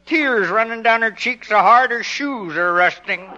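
A man's voice: high-pitched, measured pace, sharp tone, exaggerated voice. A man playing a wounded, grumbling small-town horse owner in 1950s radio comedy, mournful and reproachful. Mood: hurt, reproachful. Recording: dull vintage 1950s radio recording.

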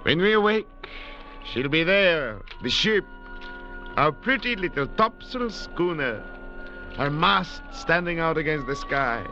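An actor plays a haughty, calculating middle-aged doctor leading escaped convicts, speaking precisely and with command in old radio drama style. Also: male voice, on the high side, animated, slow, pushed and bright, soft background music, heavily acted. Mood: dreamy confidence. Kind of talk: 1950s radio drama acting. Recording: dull, noisy vintage radio recording.

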